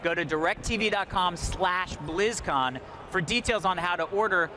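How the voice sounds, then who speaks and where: Male live-event host, high voice, jokey and casual, excited delivery, noisy convention floor behind him. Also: measured pace. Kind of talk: upbeat unscripted live hosting. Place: live set in a convention center. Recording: noisy convention hall background.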